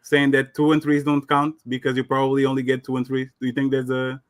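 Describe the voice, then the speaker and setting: A man's voice: medium pitch, completely flat delivery, fast, no echo. A man from Portugal with a Portuguese accent, calling in and arguing insistently in broken English. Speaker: caller. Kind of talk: heated call-in debate.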